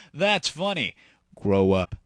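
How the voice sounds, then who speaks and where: A man's voice: high voice, noisy background. A man hosting a comedy audio show, talking casually to listeners in a teasing, mock-formal way. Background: background music.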